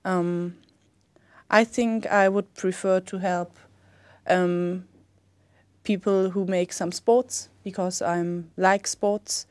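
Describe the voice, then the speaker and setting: A woman's voice: lowish voice, steady tone, takes it slowly, clean tone, dry recording. Young german-accented woman fumbling thru exam answers, lots of ums, dry sound. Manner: hesitant, many ums. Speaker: exam candidate.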